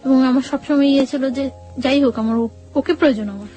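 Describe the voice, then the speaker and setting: A woman with a high voice recounts her own experiences as an interview guest. She speaks conversationally and haltingly, slipping in quoted dialogue, over faint background music. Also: warm tone. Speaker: guest telling her life story. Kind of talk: personal storytelling in an interview.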